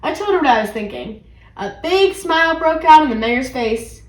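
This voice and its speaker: High female voice, expressive storybook narration, animated delivery, echoey room. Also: moderate pace. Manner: animated, acts out character dialogue. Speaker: read-aloud channel host. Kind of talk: expressive children's storybook reading.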